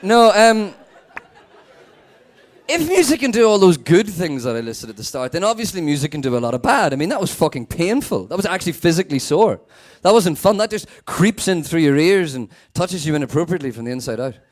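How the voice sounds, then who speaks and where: Sweary Northern Irish young man, animated. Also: a little high, fairly quick. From Northern Ireland. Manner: sweary, animated, deadpan asides. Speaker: stand-up comedian. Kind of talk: stand-up comedy set.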